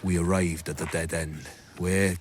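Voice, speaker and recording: An actor playing a wry, jaded doctor, narrating his memories in a measured way for a radio drama. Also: low voice, flat delivery, a little hiss or hum. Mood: wry, reflective.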